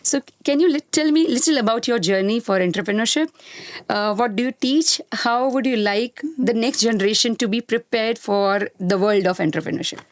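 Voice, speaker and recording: A woman from India hosting a radio interview, talking briskly and switching between English and Hindi. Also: a little high, no room sound, clean studio sound. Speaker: host, professor. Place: studio.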